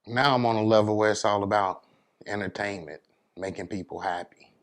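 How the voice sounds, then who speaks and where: A middle-aged American man with a fairly low voice talks casually in an interview. He is laid-back and plain-spoken, like a DJ recounting his working life. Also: a little fast. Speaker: DJ, interview guest.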